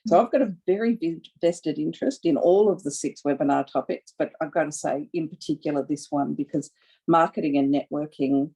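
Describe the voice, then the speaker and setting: Woman, webinar facilitator, deep voice, gravelly, husky, measured with hesitations. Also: mellow. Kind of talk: panel moderation. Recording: dull lo-fi audio.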